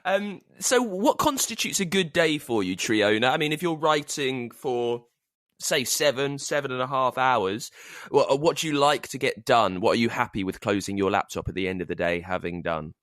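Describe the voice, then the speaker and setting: A man's voice: medium pitch, lively intonation, moderate pace, a bit bright, no room sound, studio quality. A man hosting a conversational interview, feeling his way into questions and rephrasing them as he goes. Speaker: podcast host, interviewer.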